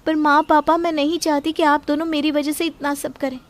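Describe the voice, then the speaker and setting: A worried young bride-to-be in a storybook family drama, acted by a woman. Her voice sits high. She speaks earnestly, with principled, dutiful protest. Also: little pitch movement, a little fast, natural voice. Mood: worried, pleading. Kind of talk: dramatised Hindi story acting.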